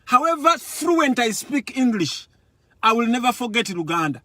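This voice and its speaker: A man's voice: very high-pitched, big pitch swings, moderate pace, bright, forceful tone, no echo. A Ugandan man giving an impassioned political monologue. He is emphatic, repeats words for force and switches between languages. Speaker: political commentator. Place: Europe.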